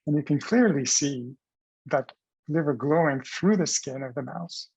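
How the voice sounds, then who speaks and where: A man presenting scientific research as explanatory narration over slides, pausing mid-phrase in a halting delivery. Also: on the high side, creaky, a little mellow, breathy edge, low-quality recording.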